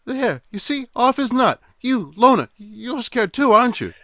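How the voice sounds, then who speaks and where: A man's voice: extremely high pitch, big pitch swings, unhurried, bright, forceful tone, no room sound, exaggerated voice. A man playing a blustering coward cracking with fear in a 1940s-style radio drama, stammering, accusatory and melodramatic. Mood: accusing, frantic. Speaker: voice actor.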